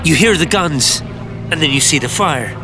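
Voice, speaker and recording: A man's voice: on the high side, lively intonation, moderate pace, background noise, close to an everyday voice. An actor playing a bitter, scornful injured wartime airman in an audio drama. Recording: music behind the voice.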